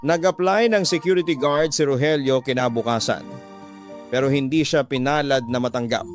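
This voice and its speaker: A man's voice: medium pitch, normal speed. Filipino man narrating over music. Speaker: radio drama host reading a letter. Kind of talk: scripted dramatic storytelling narration.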